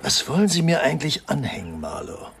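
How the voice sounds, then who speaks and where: Creaky, cynical drinking writer. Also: male voice, on the high side, big pitch swings, a little fast, fairly bright tone, slight background noise, full character voice. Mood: defensive. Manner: sardonic, dismissive. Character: bitter, cynical hard-drinking male writer, defiant and weary. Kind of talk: German radio crime drama acting.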